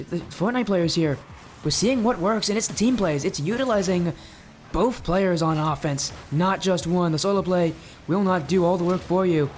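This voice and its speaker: Young guy casting a match live, high voice, rambles and talks to chat, bit of noise behind. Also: darkish tone. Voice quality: high-pitched. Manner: chatty, rambling, replies to chat mid-play. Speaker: esports caster and streamer. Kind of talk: live game commentary.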